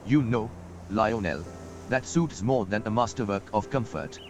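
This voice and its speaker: A gruff, folksy old mentor. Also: male voice, lowish voice, animated, measured pace, slight background noise. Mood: encouraging. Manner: folksy, wry, encouraging. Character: older male mentor, gruff and encouraging. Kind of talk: game voice acting. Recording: studio voice with game music behind.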